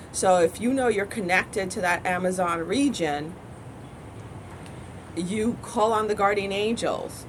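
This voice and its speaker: A woman from the United States speaks in a spiritual vlog monologue with firm, earnest conviction. She rambles and often breaks off to correct herself in a mid-range voice. A faint vehicle rumble sits under her voice in the car. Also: low-quality recording. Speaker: spiritual teacher.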